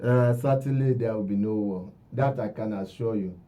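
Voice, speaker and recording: A man's voice: fairly low pitch, moderate pace, recorded from a distance. A Nigerian man speaking as a guest commentator in a radio discussion, emphatic and repeating phrases for stress. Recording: dull, lo-fi radio audio.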